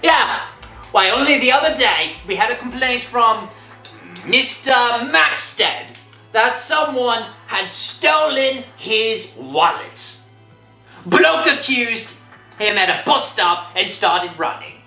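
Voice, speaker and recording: A man playing a gruff, suspicious, long-winded police constable in a 1960s radio drama. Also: extremely high pitch, lively intonation, takes it slowly, pushed and bright, faint music behind, exaggerated voice. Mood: suspicious, grumbling. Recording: old radio recording, echoey.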